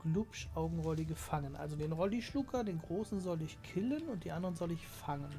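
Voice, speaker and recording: A man hosting a gaming channel, chatting casually through his play and explaining it to viewers, his voice slightly hoarse from a cold. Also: a little high, lively intonation, fairly quick, mellow, faint music behind. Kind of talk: casual let's play commentary.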